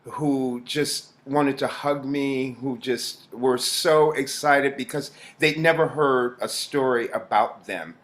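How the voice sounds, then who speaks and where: A man speaking as an actor in a reflective interview, in a halting, thoughtful way with frequent pauses. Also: mid-range voice, fairly bright tone.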